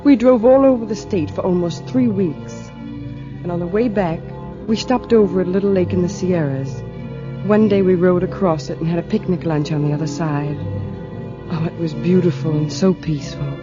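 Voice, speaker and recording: An actress playing an anxious, guilt-ridden wife in a 1940s radio drama, both narrating and speaking in character. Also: female voice, lowish voice, moderate pace, natural voice. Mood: haunted, subdued. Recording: dull vintage radio recording, music behind.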